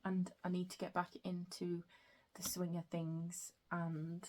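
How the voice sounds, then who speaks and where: A young woman chatting casually about her reading in a book vlog, her throat a bit croaky, with frequent soft fillers. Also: on the low side, monotone, unhurried, slight background noise. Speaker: book vlogger.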